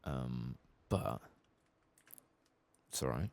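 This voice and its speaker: Man, very low voice, gravelly fry, soft-spoken ASMR gaming commentary, musing to himself. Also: animated, drawn-out words. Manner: often drops into whispers. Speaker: host of a gaming playthrough channel.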